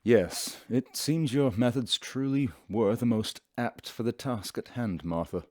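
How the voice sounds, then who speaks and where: Guy as a snooty stiff professor in a radio play, very dry close sound, medium pitch. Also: unhurried, a little mellow, no echo, close to an everyday voice. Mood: stiffly apologetic. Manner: pompous, formal. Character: haughty, self-important male professor, stiffly polite. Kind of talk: radio drama acting.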